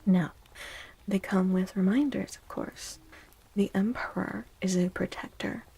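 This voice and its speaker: A woman hosting a soft-spoken ASMR tarot stream, talking gently and breathily, often near a whisper, with drawn-out delivery. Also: medium pitch, steady tone, gravelly, breathy edge, poor audio. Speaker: livestream host giving tarot readings.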